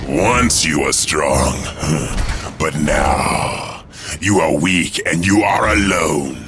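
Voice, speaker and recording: A man's voice: rumbling low, extremely slow, full character voice. A man playing a menacing, gloating demon in a video game, taunting and ominous. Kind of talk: video game character acting. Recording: music behind the voice.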